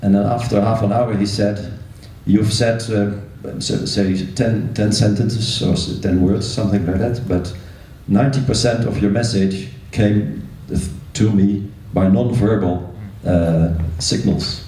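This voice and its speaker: Halting man in a very echoey hall. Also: on the low side, steady tone, dark tone, a little hiss or hum. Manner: hesitant, halting with repeated words. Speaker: audience member commenting. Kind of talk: spontaneous discussion comment. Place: large echoey room at a meeting. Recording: echoey hall, distant microphone.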